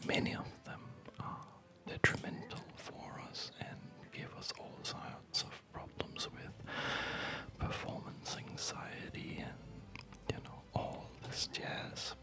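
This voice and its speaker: An ASMR gaming host speaking in a soft unvoiced whisper, rambling in a reflective, hesitant way, close to the microphone. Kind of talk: ASMR, unscripted rambling over gameplay. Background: music.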